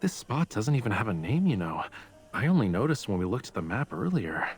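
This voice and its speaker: A teasing young love interest from a romance game. His pitch moves around a lot, and he sounds casual and wry. Soft music sits behind him. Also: very animated, brisk. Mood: relaxed, teasing.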